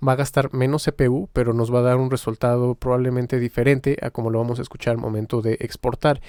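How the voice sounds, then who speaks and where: A man giving an informal step-by-step software tutorial as the instructor, in a halting, explanatory manner. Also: mid-range voice, no room sound, clean studio sound.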